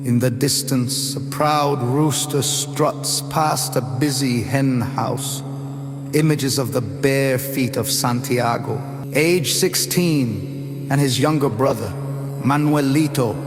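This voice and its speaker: A man reading a screenplay as narrator in a measured, deliberate way, scene by scene. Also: medium pitch. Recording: long reverb, loud music underneath.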